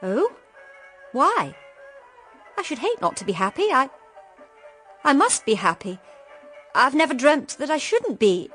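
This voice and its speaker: A woman's voice: sits high, big pitch swings, a little slow, long pauses, a bit bright, faint music behind, full character voice. An actress playing a naive, cheerful, sheltered young woman in a period radio drama, with a girlish, upper-class English manner. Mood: unsettled. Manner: gushing, girlish.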